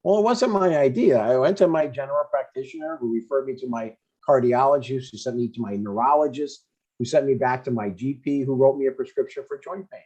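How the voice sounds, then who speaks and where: Older guy reading as a blunt dad, husky voice, gets annoyed easy but caring, kinda dull lofi sound, comedy table read. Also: medium pitch, fairly quick, warm tone, natural voice. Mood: chatty.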